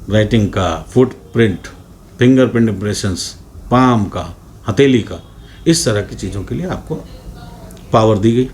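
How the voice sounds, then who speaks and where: A man's voice: medium pitch, a little mellow, a little hiss or hum. A man giving an explanatory legal lecture in a didactic manner, restating points for emphasis and mixing in English legal terms. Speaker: law lecturer.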